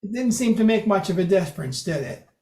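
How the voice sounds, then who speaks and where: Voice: medium pitch, animated, fast, dark tone. Speaker demoing code, echoey room. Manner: explanatory, loose, with restarts and self-corrections. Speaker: presenter at a club meeting. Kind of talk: casual demo presentation. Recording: roomy echo.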